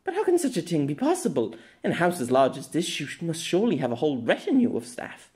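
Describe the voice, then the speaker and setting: A man's voice: huge pitch swings, fast talker. A man voicing a playful, teasing young noblewoman swordswoman in a raised, lilting voice. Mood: puzzled. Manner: bright, teasing, high lilt.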